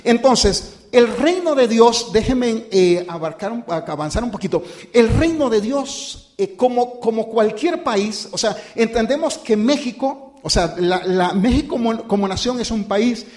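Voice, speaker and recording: High-voiced pastor, halting sermon. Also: male voice, expressive, a little mellow. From born outside the United States. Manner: informal, halting, with many fillers and restarts; addresses listeners as brothers and "usted". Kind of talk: conversational sermon.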